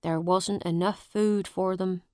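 A woman voicing a poor male farmer who slowly tells the sad story of his lost family. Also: on the low side, fairly even intonation, darkish tone, clean tone, dry recording, studio quality, natural voice. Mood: sorrowful. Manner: slow, heavy storytelling.

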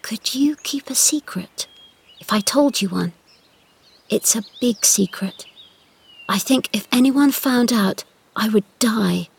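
A woman voicing a lonely, stubborn young girl who guards a secret, speaking high and urgent. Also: no echo. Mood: whispering. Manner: high and excited, fast.

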